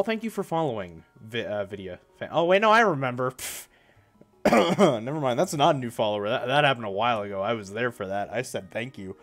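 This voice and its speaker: A young man talks casually to his live stream, sounding stuffy and congested from a cold. He rambles, stops and restarts himself as he talks. His voice sits at a middle pitch, with faint game music playing behind him. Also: a bit bright. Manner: rambling, self-correcting, chatty.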